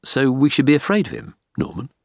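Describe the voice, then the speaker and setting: A man's voice: mid-range voice, big pitch swings, fairly quick, no room sound, studio quality, voice pushed up. A man playing a male detective superintendent in an audiobook, firm, probing and authoritative. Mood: stern.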